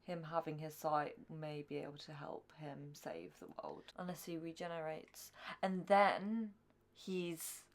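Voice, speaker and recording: A young woman co-hosting a reaction show, chatting casually and informally in a halting way. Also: low voice, little pitch movement. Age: young adult. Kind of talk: casual chat. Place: small furnished room at home, indoors. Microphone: large desk microphone.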